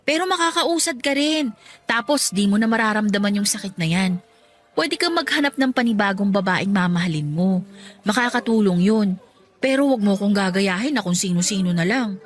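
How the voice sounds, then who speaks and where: A woman's voice: mid-range voice, lightly acted. Actress playing a chatty, caring Filipina single mother. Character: warm, self-deprecating single mother consoling her best friend. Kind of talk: Filipino radio drama dialogue acting.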